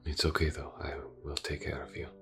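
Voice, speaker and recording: A man voicing a calm, reserved police detective in an audio roleplay, speaking formally and with gentle reassurance to a wounded partner. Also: extremely low pitch, vocal fry, soft background music, heavily acted. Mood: gentle, reassuring. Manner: measured, formal, gently reassuring, hesitant pauses. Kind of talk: audio roleplay, character acting.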